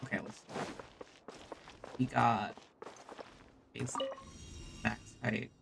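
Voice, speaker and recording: A man giving casual live gameplay commentary, halting and often repeating his phrases. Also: medium pitch, fairly even intonation, vocal fry, background noise. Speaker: game streamer.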